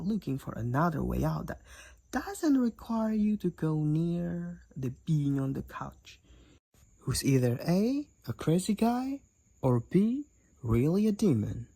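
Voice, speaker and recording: Raspy, creaky teasing demoness played by a woman. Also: low voice, warm tone, exaggerated voice. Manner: teasing, wry, theatrical, addresses the listener as Sugar. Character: cocky teasing demoness college roommate, competitive gamer. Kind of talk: roleplay audio drama.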